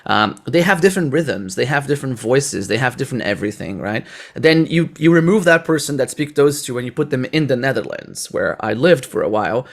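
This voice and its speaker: A man with a medium-pitched voice hosts a conversational interview. He is a native Portuguese speaker from Brazil and speaks with a Brazilian accent, talking in an unscripted, conversational way. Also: vocal fry. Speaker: podcast host.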